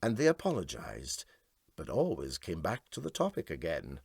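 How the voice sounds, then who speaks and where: Expressive Scottish man narrating, dry sound. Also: rumbling low, moderate pace, gravelly, husky, dry recording. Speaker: storyteller. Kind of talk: scripted comic story narration.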